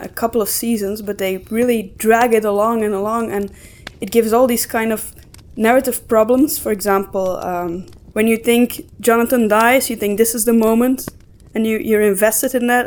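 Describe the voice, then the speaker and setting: A woman's voice: fairly high pitch, steady tone, moderate pace, darkish tone. A woman co-hosting a podcast, talking casually and giving emphatic opinions. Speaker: podcast co-host. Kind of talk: casual discussion of storytelling.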